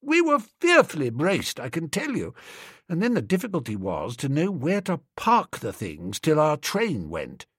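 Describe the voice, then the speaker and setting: Amiable young English toff, done by a male narrator: sheepish, bumbling, chatty, swooping pitch, husky, storybook comedy. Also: wildly swooping pitch, normal speed, no echo, studio quality, full character voice. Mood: chatty, eager. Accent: upper-class English. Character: mild, sheepish young English lord, amiable silly student.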